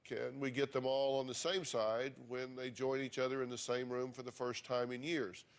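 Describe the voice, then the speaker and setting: Talk show host, male, dry wit, moderating guests, average pitch. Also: little pitch movement, normal speed, slight background noise. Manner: dry joking, steering a heated family dispute.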